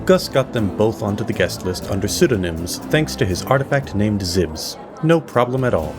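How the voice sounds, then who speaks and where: A man narrating an audio drama as storyteller, with measured, theatrical pauses. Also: on the low side, big pitch swings, over music.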